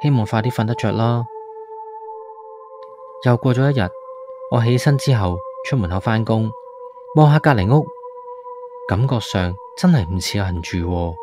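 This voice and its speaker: A man's voice: fairly low pitch, animated, normal speed, pauses often, close to an everyday voice. A man playing a frightened tenant, narrating a horror radio drama in an anxious, suspenseful way. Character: frightened male tenant recounting his haunting. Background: background music.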